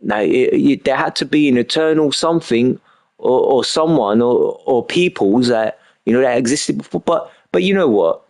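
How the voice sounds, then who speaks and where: A man's voice: medium pitch, animated, normal speed, gravelly, darkish tone. A young man streaming a game and talking in an emphatic, repetitive way, often filling with "you know what I mean". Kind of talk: casual rambling monologue while gaming.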